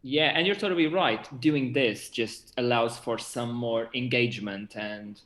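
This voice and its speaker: A man's voice: mid-range voice, unhurried, fairly bright tone. Young Portuguese man in an echoey room. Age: 20s. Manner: enthusiastic. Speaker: theatre director and actor. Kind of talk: casual interview chat. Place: indoor room, on a video call. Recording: roomy, echoing video call audio.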